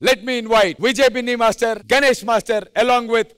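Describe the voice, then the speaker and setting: A man hosting a dance reality show on stage in a loud, exclamatory announcing style. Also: very high-pitched, fairly even intonation, normal speed, slight background noise. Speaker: host. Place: TV studio stage. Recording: loud music behind the voice.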